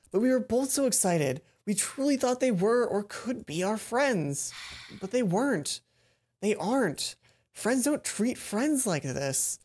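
A man voicing a caring teenage schoolgirl roommate from a visual novel, reading her lines in an earnest, soft-spoken way. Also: high voice, breathy edge, full character voice.

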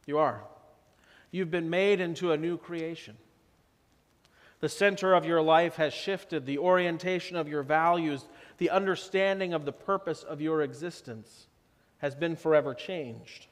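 Slow-preaching pastor in a reverberant church. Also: male voice, a little high. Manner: slow, measured preaching with drops to soft emphasis. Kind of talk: sermon. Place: church sanctuary.

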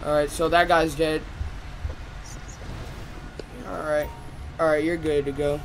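Male gamer, fire officer roleplay, informal chatter, raised pitch, noisy siren-and-engine backdrop. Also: little pitch movement, slow. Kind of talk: in-character game roleplay chat.

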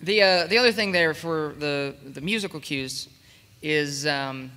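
A man with a slightly high, bright voice speaks on a panel in a reverberant hall. He explains in a halting, unscripted way, pausing to find his words. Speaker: panelist explaining audio production. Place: large convention hall, panel table.

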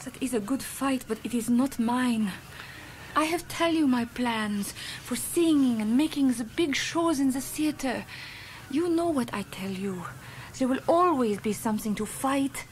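An actress voicing a wistful blonde showgirl with a French accent, speaking soft, accented broken English in an early 1980s radio play. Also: high-pitched, expressive, unhurried, a little hiss or hum, rough recording. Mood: sad, tender. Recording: radio play with loud orchestral music.

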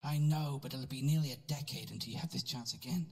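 A man playing a solemn figure who gently urges someone on, in an audio adventure. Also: mid-range voice, fast talker, close to an everyday voice. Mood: solemn, coaxing. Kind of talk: dramatic audio adventure acting. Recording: long reverberant hall echo.

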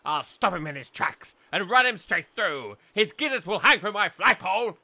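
Posh old english lord, loud and blustery, absent-minded, swooping pitch, comedy audio play. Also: male voice, lively intonation, moderate pace, heavily acted. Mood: outraged. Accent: upper-class English. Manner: exclamatory, blustering. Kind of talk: comic audio drama acting.

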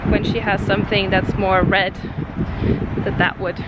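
A young woman vlogging to a handheld camera outdoors in a city, talking casually with lots of fillers and pauses. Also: mid-range voice, flat delivery, highs cut off. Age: young adult. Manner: chatty, hesitant, many fillers. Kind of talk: casual vlog talk to camera. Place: outdoors in a city, under a bridge. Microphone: handheld camera at arm's length. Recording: outdoor street noise.

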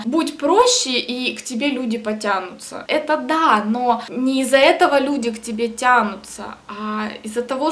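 A young woman with a cold, talking casually to camera in a small indoor room, chatty and animated with preachy bursts. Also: sits high. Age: young adult. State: has a cold. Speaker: vlogger. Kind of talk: casual vlog talk to camera.

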